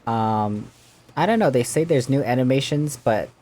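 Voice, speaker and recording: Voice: medium pitch, expressive, moderate pace, dark tone. A video game streamer giving casual, informal gameplay commentary, with reactive asides and dialogue choices read aloud.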